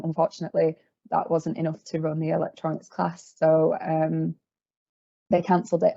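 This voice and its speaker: Hesitant young woman, low and gravelly. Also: mellow, dry recording, muffled recording. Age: 20s. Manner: hesitant, lots of ums and restarts. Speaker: field service trainee. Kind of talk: answering questions in an online careers talk.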